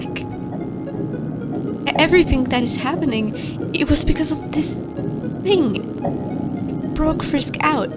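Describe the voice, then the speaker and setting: A woman voicing a nervous female monster scientist who records fearful logs, speaking in a halting, stammering way. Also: on the high side. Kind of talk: audio log horror narration. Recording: loud music theme underneath.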